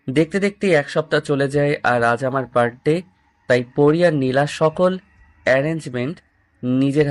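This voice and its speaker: Casting: young male narrator, mid-pitched voice, first-person romantic audio story with acted dialogue. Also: no echo.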